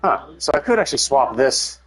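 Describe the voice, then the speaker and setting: A man gives casual live commentary on a game stream, thinking out loud in a halting way. His voice sits at an average pitch for a man. Music plays behind him as he talks. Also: big pitch swings, rough recording.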